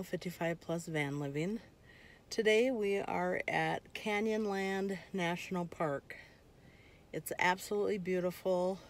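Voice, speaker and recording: Older woman vlogger, casual and slow, lots of ums, phone at arm's length, faint background noise. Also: on the low side. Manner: unhurried, chatty, many ums. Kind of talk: casual chat. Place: in a minivan, then outdoors at a campsite. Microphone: phone held at arm's length.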